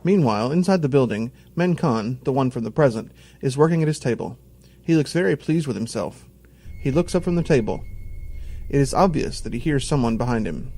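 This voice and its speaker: A man's voice: mid-range voice, expressive, fairly quick, dark tone. A man reading scripted narration as the narrator of an audio drama. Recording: music bed behind voice.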